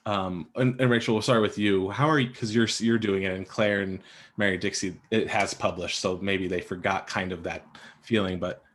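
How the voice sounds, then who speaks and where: A man with a fairly low voice hosts a casual panel. He speaks haltingly, often doubling words as he gets a sentence going. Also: steady tone, a bit bright. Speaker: host, moderator.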